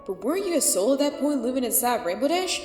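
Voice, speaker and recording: A woman voicing an inquisitive, puzzled female cartoon pony with an expressive delivery in an audio drama. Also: sits high, fast, faint music behind. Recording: roomy reverb.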